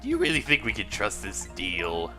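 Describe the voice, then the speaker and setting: A man's voice: lowish voice, huge pitch swings, moderate pace, sharp tone, faint music behind, full character voice. A man voicing a suave, flirtatious villainous henchman from a video game. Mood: smooth, sceptical. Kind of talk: video game character dub in a let's play.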